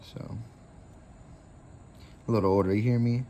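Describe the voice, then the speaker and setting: A man's voice: lowish voice, fairly even intonation, a little slow, mellow, a little hiss or hum. A man in his twenties hosting a casual craft tutorial, rambling easily with lots of fillers. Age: 20s.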